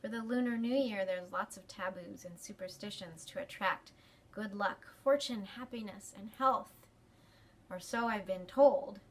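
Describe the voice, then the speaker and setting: A woman's voice: medium pitch, measured pace. A woman hosting a library storytime, talking expressively to children. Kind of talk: expressive picture-book reading and talk to children.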